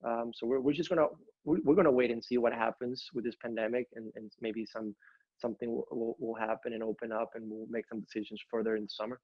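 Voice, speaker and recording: A young man from Ecuador talks earnestly from home, his sentences full of fillers and restarts. His delivery stays level and flat. The audio is dry and a little dull, like a home video call. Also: mid-range voice, fast talker, no echo. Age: young adult. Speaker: college tennis coach. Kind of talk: interview over video call.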